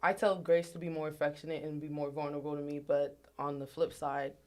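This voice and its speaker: A woman's voice: low-pitched, flat delivery, brisk, a little mellow. A young woman co-hosting a casual radio chat, talking earnestly and reflectively about friendship with some self-deprecating humor. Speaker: podcast co-host.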